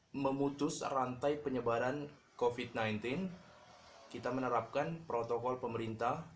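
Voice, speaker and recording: A man from Medan sharing tips on his channel in a casual vlog, talking in a relaxed, halting way with many fillers. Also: mid-range voice, slight background noise. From Medan, Indonesia. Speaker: YouTuber.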